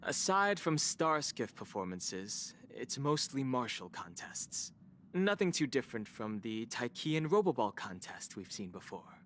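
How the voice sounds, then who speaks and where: Cool, deadpan young man. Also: mid-range voice, measured pace, a little hiss or hum. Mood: reassuring, matter-of-fact. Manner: deadpan, matter-of-fact. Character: cool, reserved young man, dryly factual. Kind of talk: video game voice acting.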